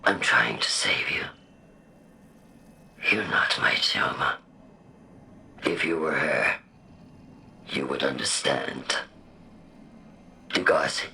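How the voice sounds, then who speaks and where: Creaky, very low obsessed villainess. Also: female voice, animated, slight background noise, full character voice. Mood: cold disappointment. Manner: slow, theatrical, condescending. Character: obsessed, imperious female scientist turned coldly menacing villain. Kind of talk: dramatic video game acting. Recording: loud music under the voice. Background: loud dramatic game music.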